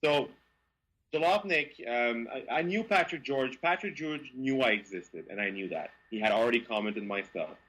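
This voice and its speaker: Guest, male, Quebec French accent, slightly breathy, animated storyteller with stammered restarts. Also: mid-range voice, moderate pace, low-quality recording. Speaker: guest, car reviewer. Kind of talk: casual interview storytelling.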